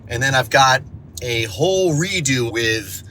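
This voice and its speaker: A man's voice: medium pitch, expressive, measured pace, bright, forceful tone. A man talking casually and informally in a behind-the-scenes vlog as a director and producer, a bit sniffly from allergies. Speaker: audio drama director and producer vlogging. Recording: faint background noise.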